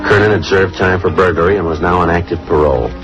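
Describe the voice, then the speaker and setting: An extremely low male voice on a dull vintage radio recording, an actor as a police detective. He is terse and deadpan, rattling through his lines in clipped, quick crime-drama style. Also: over music, lightly acted. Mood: matter-of-fact. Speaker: actor narrating and playing the lead.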